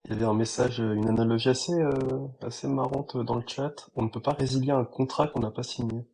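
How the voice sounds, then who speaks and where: A man with a medium-pitched, very creaky voice hosts a live discussion. He moderates it in an informal, chatty way. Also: fairly even intonation, quick pace, a little mellow. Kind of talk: casual live-stream moderation.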